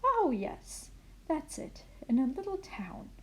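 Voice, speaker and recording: A woman playing a kindly, muddled grandmother in an expressive children's storybook reading. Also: high voice, slow, voice pushed up, full character voice, low-quality recording. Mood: puzzled. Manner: expressive storybook reading with puzzled self-corrections. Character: kindly, muddled grandmother misreading fairy tale aloud.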